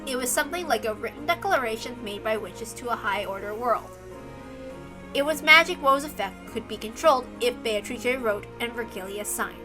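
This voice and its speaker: A woman's voice: a little high, lively intonation, normal speed, music in the background. A woman reading a story aloud as scripted narration. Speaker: reader.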